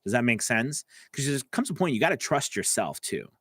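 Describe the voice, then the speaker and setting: A man's voice: medium pitch, sing-song, a little fast, a bit bright, husky, dry recording. A man coaching voice actors on a live stream, answering viewer comments in a casual, chatty way from his home studio. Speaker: voice-over coach.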